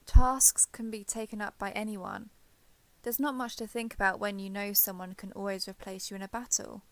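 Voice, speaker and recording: Woman acting a shy, guarded ex-villain, creaky-voiced. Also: medium pitch, little pitch movement, lightly acted. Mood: grudging, defensive. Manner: halting, defensive, awkwardly sincere. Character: shy, guarded former villain woman turned ally, awkward and flustered. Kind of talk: intimate romance audio roleplay.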